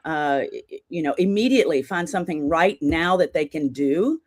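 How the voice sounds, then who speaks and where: A woman's voice: low voice, lively intonation, moderate pace, dry recording, clean studio sound. A woman in her sixties, a guest on a podcast, telling her story earnestly in long run-on sentences. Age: 60s. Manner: earnest, talks in long run-on stories with laughter. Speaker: podcast guest, charity founder. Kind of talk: casual interview storytelling.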